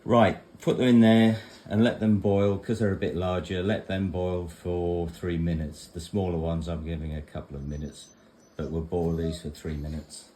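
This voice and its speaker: A man's voice: low-pitched, level tone, moderate pace, mellow, slight background noise. A man giving a casual home demonstration in a rambling, matter-of-fact way, like a vlogger in his kitchen.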